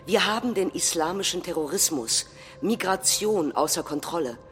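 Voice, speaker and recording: An actress playing an indignant, combative right-wing populist politician, declaiming scornfully and emphatically in a staged reading of a parliamentary speech. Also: female voice, fairly low pitch, animated, normal speed, lightly acted. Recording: reverberant hall, faint music.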